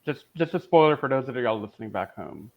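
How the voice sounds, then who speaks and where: A man's voice: mid-range voice, big pitch swings, a little fast, creaky. A man co-hosting a podcast, chatting casually as he reviews a show as a fan. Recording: slightly dull, lo-fi audio.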